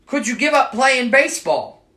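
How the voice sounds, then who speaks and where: Storyteller putting on a cranky little leprechaun, wobbly irish lilt, bouncy pitch. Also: sing-song, bright, forceful tone, roomy sound, exaggerated voice. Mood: wistful. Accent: attempted Irish. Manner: high, lilting, quick to anger. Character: feisty male leprechaun cobbler, proud and stubborn.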